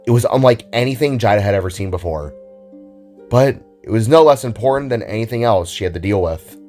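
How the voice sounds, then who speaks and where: A man reads scripted fiction as a narrator, in a dramatic storytelling style. His voice sits fairly low, and music plays behind it. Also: expressive, fairly quick, warm tone.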